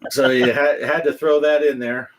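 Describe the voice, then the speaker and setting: A man's voice: medium pitch, level tone, moderate pace, poor audio. A man in his fifties hosting a casual gaming podcast, joking around and reading chat comments aloud. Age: 50s. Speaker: podcast host.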